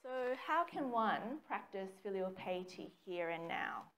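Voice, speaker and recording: A young woman reading a prepared talk in a measured way, recorded by a camera across a small meeting room. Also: medium pitch, lively intonation, fairly bright tone, low-quality recording. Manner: measured, reads from notes with occasional ums. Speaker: speaker giving a dharma talk. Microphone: far camera, no mic seen.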